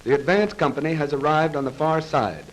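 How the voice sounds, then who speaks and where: A man reads scripted narration for a 1930s military training film in a formal, measured, precise manner, on a vintage film soundtrack. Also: on the high side, slight background noise, low-quality recording. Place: voice-over recorded for a film.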